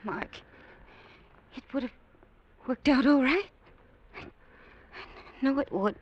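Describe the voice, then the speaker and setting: An actress playing a desperate, pleading femme fatale in an old radio drama, breathless and melodramatic. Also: female voice, high voice, slow pace, lo-fi sound. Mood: weak, pleading. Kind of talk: 1940s radio drama acting. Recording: noisy old radio recording with clicks.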